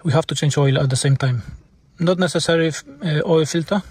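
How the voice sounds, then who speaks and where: Polish guy mechanic talking thru a repair, kinda high husky voice, halting non-native english. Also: darkish tone, dry recording. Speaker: car mechanic presenting a repair video. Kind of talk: informal hands-on explanation.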